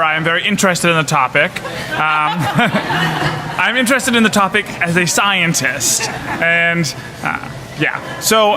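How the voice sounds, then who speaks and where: Young man speaking on a live panel. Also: a little high. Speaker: physical therapist, panel guest. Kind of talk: self-introduction on a live panel. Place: conference session with a live audience. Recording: live conference room with background noise.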